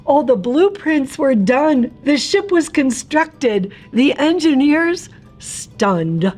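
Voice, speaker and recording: Slow-paced woman reading a children's storybook. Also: sits high, big pitch swings, a little mellow, faint music behind. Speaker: read-aloud narrator of a children's picture book. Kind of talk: expressive rhyming storybook narration for young children.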